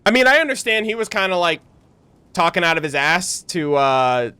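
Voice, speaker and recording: A man doing casual, slangy reaction commentary as a game streamer, expressive and free with swearing. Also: high-pitched, moderate pace, clean tone, no room sound.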